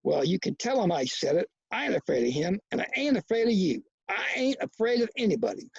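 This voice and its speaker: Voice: mid-range voice, sing-song, a little fast, vocal fry, husky, dry recording, lo-fi sound, exaggerated voice. A reader voicing a cocky, combative young boy from a novel, with brash, slangy, goading talk.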